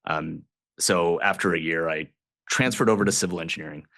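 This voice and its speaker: A man from Southern Illinois, a guest on a podcast, talking in a relaxed, conversational way with fillers and restarts. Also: sits low, fairly quick, vocal fry, dry recording, studio quality. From Southern Illinois, United States. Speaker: guest, water agency general manager. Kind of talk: casual podcast interview.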